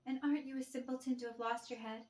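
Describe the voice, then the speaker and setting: A woman voicing a sassy, sharp-tongued lady's maid who scolds theatrically, as in a storybook play. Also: sits high, level tone, fast, slight background noise, natural voice. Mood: scolding. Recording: roomy echo with music behind.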